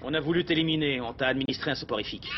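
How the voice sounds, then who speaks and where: A man's voice: mid-range voice, rapid-fire, highs cut off, background noise, close to an everyday voice. A man playing a questioning, sardonic rebel sidekick in a cartoon. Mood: wry. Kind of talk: French cartoon dub acting.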